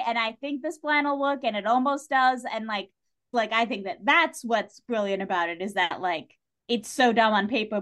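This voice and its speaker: A woman speaking as a podcast guest in a casual, opinionated discussion, with an animated, wry manner. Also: high voice.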